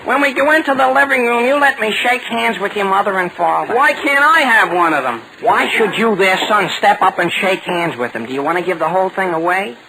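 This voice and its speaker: A man's voice: high-pitched, expressive, brisk, vocal fry. A man acting an eager, awkward, over-polite teenage boy in a 1940s radio comedy. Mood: scheming, eager. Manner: earnest, over-polite, evasive. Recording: noisy vintage 1940 radio broadcast.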